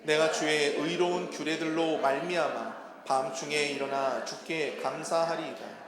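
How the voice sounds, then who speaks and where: A man's voice: on the high side, fairly even intonation, measured pace. Echoing male-led congregation reading scripture together. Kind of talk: responsive scripture reading in unison. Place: large church sanctuary. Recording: echoing church hall, crowd of voices.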